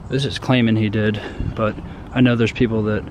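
A young man in his twenties talking casually to the camera as a vlogger, relaxed and unsure, with lots of fillers, recorded on a handheld phone. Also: on the low side, a little fast, slight background noise. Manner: relaxed, uncertain, many fillers. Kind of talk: casual vlog walkthrough. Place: wooded trail outdoors, then apartment living room.

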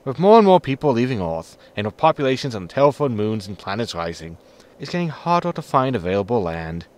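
A man playing a weary, dry-witted spaceship captain in a sci-fi comedy audio drama, deadpan in manner. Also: mid-range voice, a little fast, natural voice.

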